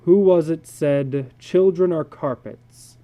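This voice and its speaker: A man's voice: fairly high pitch, takes it slowly, warm tone, lo-fi sound, pitched above the performer's own voice. A man reading the part of a stern, troubled father who is frustrated with his children. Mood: exasperated.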